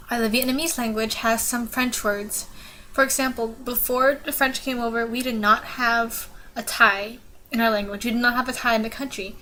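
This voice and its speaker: A woman's voice: fairly high pitch, bright, forceful tone, a little hiss or hum, poor audio. Giggly awkward teen girl vlogger. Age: teens. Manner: giggly, awkward, many ums. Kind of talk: casual informative vlog.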